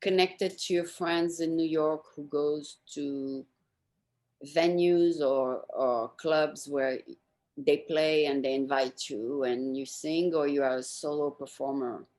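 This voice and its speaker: Low-pitched woman hosting an interview. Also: fairly even intonation, takes it slowly, darkish tone. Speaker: host, interviewer. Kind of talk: casual interview questioning.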